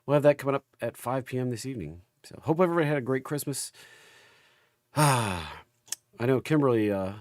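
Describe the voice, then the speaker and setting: Male livestream market host, gravelly and under the weather, informal folksy delivery, dry recording. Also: medium pitch, dry recording. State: recovering from an illness. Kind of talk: casual livestream chat and market commentary.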